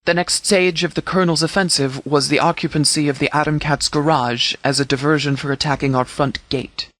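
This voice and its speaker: A man playing a stern sheriff in a video game, giving formal, measured briefings with authority. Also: a little high, steady tone, fairly bright tone, no echo, natural voice. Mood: matter-of-fact, authoritative. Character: stern, formal sheriff commanding a post-apocalyptic settlement militia. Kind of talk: scripted video game dialogue, military briefing.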